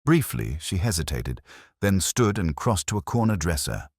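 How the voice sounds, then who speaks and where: A man narrates scripted fiction in a very deep, slightly rough voice. His intonation rises and falls freely, and the recording is close and dry. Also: very animated, moderate pace, dry recording.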